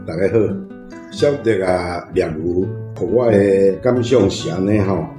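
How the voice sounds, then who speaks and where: Male panel guest, Taiwanese accent, fairly low voice, colloquial opinions in a roomy space. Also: a little slow. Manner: colloquial, frequent tag particles. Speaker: panel guest, reading club member. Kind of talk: informal commentary on a story. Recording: roomy, faint music behind. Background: faint guitar music.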